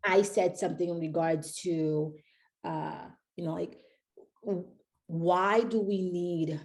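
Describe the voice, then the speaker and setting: A woman's voice: fairly low pitch, steady tone, slow, darkish tone. A young woman from Haiti, a company founder, chatting as a podcast guest and rambling with many fillers and restarts. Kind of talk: casual interview chat.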